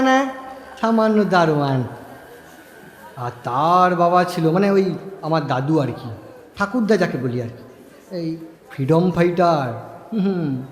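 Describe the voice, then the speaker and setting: A man's voice: high-pitched, a little mellow, full character voice. A man playing an unhinged, rambling middle-class narrator in an audio story who gleefully plots murder and keeps interrupting himself to address listeners. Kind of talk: dramatic first-person audio story monologue. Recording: echoing reverb over music bed.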